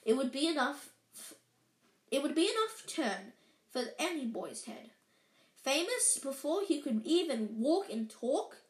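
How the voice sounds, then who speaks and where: A woman voicing an old, wise male wizard who speaks slowly, heavily and calmly. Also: high voice. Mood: grave. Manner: slow, heavy, measured. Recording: roomy echo.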